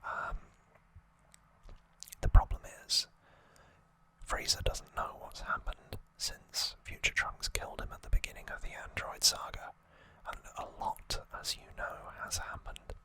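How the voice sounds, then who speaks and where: An ASMR host whispering a conversational recap in a breathy, unvoiced, hushed voice. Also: unhurried, rough recording.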